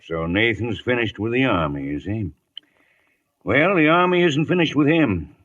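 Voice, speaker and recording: An actor playing a genial but commanding cavalry major, brisk and authoritative, in a 1950s radio drama. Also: male voice, mid-range voice, lively intonation, fairly bright tone, lo-fi sound.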